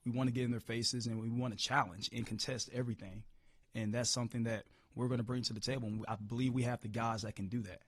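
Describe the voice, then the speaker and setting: A hoarse-voiced man coaching football, answering press questions upbeat, often repeating words as he restarts. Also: mid-range voice, little pitch movement, quick pace, dry recording. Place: media room.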